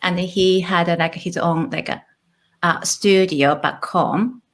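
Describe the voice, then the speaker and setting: Japanese-accented woman, lowish voice. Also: steady tone, slow pace. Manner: thoughtful, with small restarts and light laughs. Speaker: guest, author and illustrator. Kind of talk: casual interview conversation.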